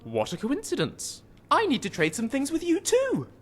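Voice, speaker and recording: An actor playing a polite, bookish, anxious adventuring companion in a fantasy video game. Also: male voice, very high-pitched, huge pitch swings, normal speed, heavily acted. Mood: polite, obliging. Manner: polite, wordy, nervous.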